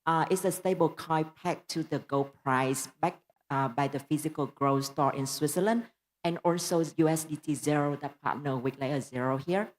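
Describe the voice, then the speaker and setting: Vietnamese-accented woman panelist, notably low pitch, courteous and filler-heavy. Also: fairly even intonation, moderate pace. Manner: polite, frequent uh fillers. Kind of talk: conference panel introduction. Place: conference hall panel.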